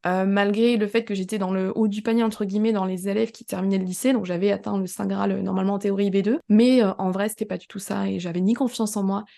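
A young adult woman, an English coach, answering interview questions casually with a hesitant, halting way of talking. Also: medium pitch, fairly even intonation, gravelly, warm tone. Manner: hesitant, many fillers and restarts. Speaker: English coach, podcast guest.

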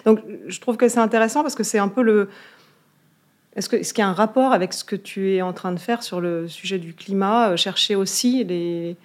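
A woman's voice: medium pitch, normal speed. A woman interviewing a guest, phrasing her questions in a searching way with many restarts. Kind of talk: interview conversation.

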